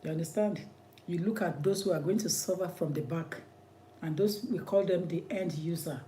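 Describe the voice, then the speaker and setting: A man's voice: a little high, normal speed, dark tone, slight background noise. A man with a Nigerian accent, an immigration lawyer giving impassioned commentary in an emphatic, repetitive, preacherly way.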